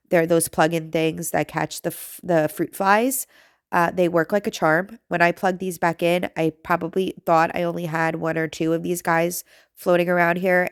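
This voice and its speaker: A woman in her thirties with a raspy, nasal voice, chatting through her home cleaning vlog in a rambling, self-deprecating way. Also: fairly low pitch, no echo, clean studio sound. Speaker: home vlogger and mom. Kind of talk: chatty voice-over narration.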